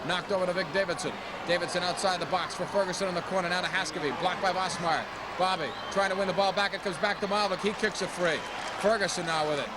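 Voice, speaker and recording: Guy calling indoor soccer live, short choppy phrases, high voice, huge crowd roar behind him. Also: steady tone, fast talker. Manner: clipped running phrases. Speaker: play-by-play commentator. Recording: loud crowd noise, noisy old sports broadcast. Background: roaring arena crowd.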